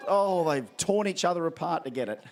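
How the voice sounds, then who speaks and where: A man's voice: a little high, expressive, brisk. An Australian man acting as MC at an outdoor event, handing out prizes with playful, teasing crowd banter.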